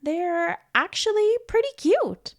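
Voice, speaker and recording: Woman reading a picture book aloud to young children; slow, gentle, playful, with a bouncy melody. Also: very high voice, big pitch swings. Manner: gentle, playful, reassuring, with giggles. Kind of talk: read-aloud storytime.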